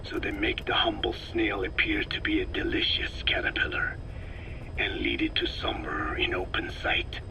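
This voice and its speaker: Man acting a whispering, gravelly old scientist. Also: fairly low pitch, lightly acted, poor audio. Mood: grave, eerie fascination. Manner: slow, deliberate, lecturing. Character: elderly male scientist, frail, erudite, grave. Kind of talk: video game audio drama acting.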